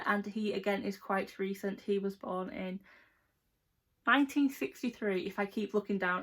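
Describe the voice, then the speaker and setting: A young adult woman with an English accent, chatting casually and informally to viewers as a book vlogger, rambling a little. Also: medium pitch. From England. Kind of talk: casual chat to camera.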